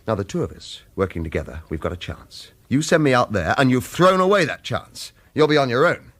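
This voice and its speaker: Radio thriller lead: weary former hitman, earnest and persuasive, husky voice with very lively pitch movement. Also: brisk. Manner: urgent, insistent, pleading in dialogue, hushed in narration. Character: weary former hitman, earnest, pressured, persuasive, narrating his own story. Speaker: actor playing the lead and narrator. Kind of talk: radio thriller acting with first-person narration.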